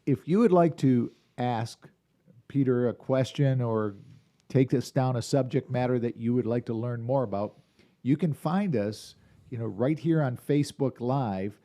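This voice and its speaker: A man's voice: mid-range voice, a little slow, dark tone. A man hosting a live podcast interview from a podcast room in a restaurant, chatty and rambling with restarts. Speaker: podcast host.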